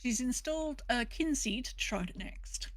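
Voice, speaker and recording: A woman's voice: a little high, expressive, normal speed, dry recording. A woman hosting a podcast in casual chat. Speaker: podcast host.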